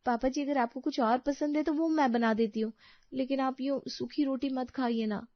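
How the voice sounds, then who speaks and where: A woman playing a gentle, anxious and dutiful young daughter-in-law in a family story, speaking politely and earnestly. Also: sits high, fairly even intonation, normal speed, no echo, lo-fi sound, close to an everyday voice. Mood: concerned pleading. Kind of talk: acted dialogue in a Hindi family story.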